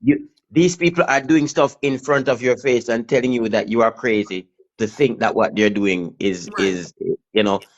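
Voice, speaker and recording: A man's voice: medium pitch, moderate pace, dull audio. A man with a Jamaican accent, a pastor calling in, speaking earnestly and emphatically. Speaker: caller, pastor. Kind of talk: call-in conversation.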